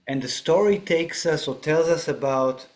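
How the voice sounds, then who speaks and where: A man's voice: fairly high pitch, takes it slowly, gravelly. A man talking through spiritual ideas on a podcast, reflective and explanatory, often checking in with "right?". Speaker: podcast guest. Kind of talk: spiritual discussion.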